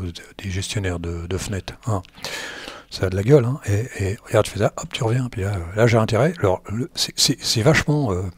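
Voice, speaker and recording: A man's voice: fairly low pitch, slightly rough, dry recording. A man giving loose, slangy commentary over a screen recording, often repeating himself and joking at his own expense. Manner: rambling, repeats words, slangy and self-mocking. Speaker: tech blogger.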